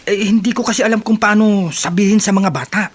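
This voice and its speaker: A man's voice: sits high, fairly even intonation, fast talker, breathy edge. An actor playing an agitated man bearing grave news in a radio soap drama, hesitant yet urgent. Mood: distressed, urgent.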